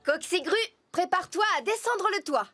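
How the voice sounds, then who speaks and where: An upbeat cartoon builder with a high voice. She is enthusiastic and gushing and directs the team in a bright way. Cartoon music plays clearly behind her. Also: animated, moderate pace, no echo. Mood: directing. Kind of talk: cartoon dubbing.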